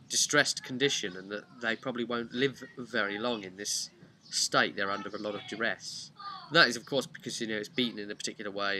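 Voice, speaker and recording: A man casually retelling a tabletop role-play story in a halting, chatty way with wry asides. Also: on the low side, bright, forceful tone. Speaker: host of a tabletop role-play campaign recap. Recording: clear background noise with birdsong.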